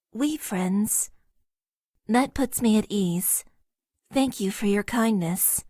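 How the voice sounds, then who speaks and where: A woman voicing a shy, formal young mecha pilot in a game, speaking softly in stiff, literal phrases. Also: on the high side, no echo, studio quality. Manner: soft-spoken, stiff and literal. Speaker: voice actress. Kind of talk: game character voice lines.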